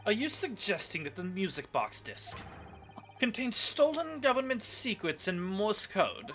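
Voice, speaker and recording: Man acting as nervous witness, polite, pompous, animated pitch, sharp tone, courtroom game dub, music behind. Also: measured pace, exaggerated voice. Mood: flustered. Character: nervous, self-important telegraph officer on the witness stand. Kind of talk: amateur fan dub of a courtroom video game.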